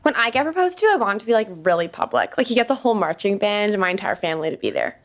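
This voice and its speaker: An actress playing a bossy, excitable young woman who takes charge in a podcast sitcom. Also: medium pitch, very fast. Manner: bossy, excitable, self-assured. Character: Laura, bossy, eager young woman planner.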